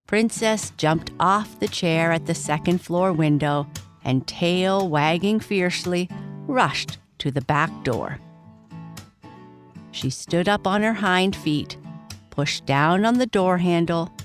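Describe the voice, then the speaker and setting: A woman's voice: lowish voice, takes it slowly, soft background music. A woman reading a scripted bedtime story for children as its narrator.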